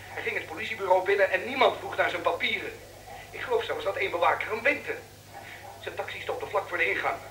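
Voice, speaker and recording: An actor playing an excitable male radio reporter phoning in urgently in a radio play. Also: high voice. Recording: roomy, distant phone booth call, faint noise.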